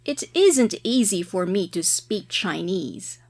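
A woman reading her side of scripted listening exam dialogues in a slow, careful textbook manner. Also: mid-range voice, expressive, darkish tone, no echo. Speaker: listening test reader.

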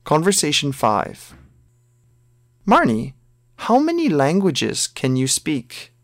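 A man reading a scripted listening exam, both the dialogue lines and the numbered questions, in a slow, careful textbook manner. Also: on the high side, huge pitch swings, no echo, studio quality. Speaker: listening test reader.

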